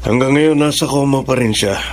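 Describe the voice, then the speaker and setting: A man's voice: medium pitch, brisk, a little hiss or hum, lightly acted. An actor playing a helpful, grave male friend in a Filipino radio drama.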